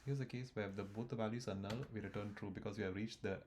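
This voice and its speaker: A man's voice: lowish voice, steady tone, fast talker, darkish tone, a little hiss or hum. A man explaining code step by step as he types it, thinking aloud with fillers and restarts. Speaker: coding tutor. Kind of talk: screen-recorded coding walkthrough.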